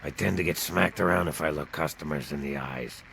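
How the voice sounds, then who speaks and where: A downtrodden ghoul bartender in a video game. The voice is low and heavily fried, a rasping croak. He sounds jumpy, bitter and sorry for himself, over faint background noise. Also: fairly quick, fairly bright tone, exaggerated voice. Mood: weary, grateful. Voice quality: gravelly rasp. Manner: nervous, self-pitying. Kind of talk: video game character dialogue.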